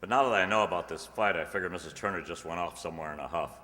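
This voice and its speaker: A man's voice: sits low, racing, sharp tone. A man playing a no-nonsense small-town sheriff, businesslike and matter-of-fact, in a radio mystery play. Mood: matter-of-fact, grave. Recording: reverberant hall sound.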